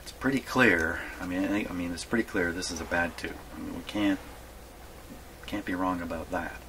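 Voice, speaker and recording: Man, deep voice, casual repair demo, halting and repetitive muttering, clear background noise. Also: bright, forceful tone. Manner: halting, muttering to himself, repeats words. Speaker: hobbyist radio repairer presenting his workshop video. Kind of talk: casual hands-on demonstration, thinking aloud. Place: radio repair workshop. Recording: clearly noisy workshop recording.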